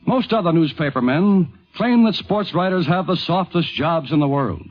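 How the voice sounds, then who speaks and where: Higher-voiced 1950s radio documentary narrator man. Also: expressive, normal speed. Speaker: narrator and host. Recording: dull vintage 1950s radio recording.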